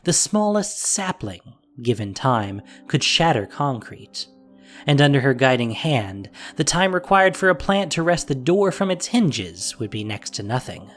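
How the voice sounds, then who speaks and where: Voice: medium pitch, big pitch swings, a little slow, a bit bright. Audio drama narrator over faint music. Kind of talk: scripted dramatic story narration.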